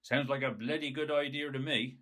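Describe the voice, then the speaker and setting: A man playing a grumpy, solitary old villager in an audio story, with gruff, curt dialect speech. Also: mid-range voice, steady tone, brisk, a bit bright, natural voice. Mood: grumbling. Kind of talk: acted dialogue in audio story.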